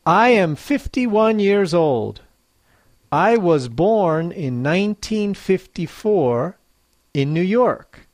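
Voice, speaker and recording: Super slow teacher reading quiz clues twice over, bouncy up-and-down voice. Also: medium pitch, big pitch swings, no room sound. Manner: reads each clue twice, slowly and clearly. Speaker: English teacher. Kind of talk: slow quiz dictation for learners.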